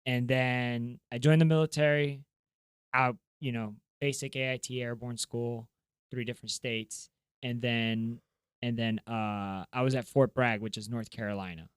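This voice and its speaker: A man's voice: mid-range voice, fairly even intonation, a little slow, smooth, dry recording, studio quality. A man from Florida, born in Colombia, chatting casually as a podcast co-host in slangy talk full of "bro" and "like". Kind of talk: casual chat.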